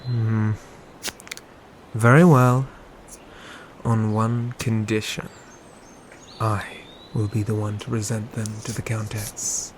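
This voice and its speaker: A man playing a dutiful, ambitious knight and protective brother in a scripted medieval fantasy audio roleplay, with measured, formal phrasing. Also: lowish voice, little pitch movement, a little hiss or hum.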